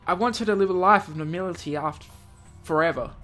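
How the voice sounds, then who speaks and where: A man's voice: high voice, fast talker, pushed and bright. Playful man reading a visual novel, music underneath. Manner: playful, breaks off to comment. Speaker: gaming video host reading aloud. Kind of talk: let's play, dramatic reading of visual novel text with asides.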